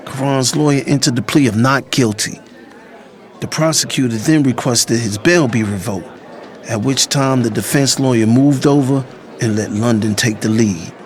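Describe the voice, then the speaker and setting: A man's voice: mid-range voice, lightly acted. An actor playing a resolute, self-sacrificing younger brother who narrates his story in the first person. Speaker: audiobook narrator.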